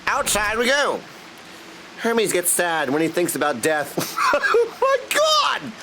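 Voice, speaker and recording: Goofy, animated high-voiced man. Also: takes it slowly, slight background noise, rough recording. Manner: goofy, slangy, chatty with viewers. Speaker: game livestreamer. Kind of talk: casual livestream chat over gameplay. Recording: music behind the voice. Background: game music.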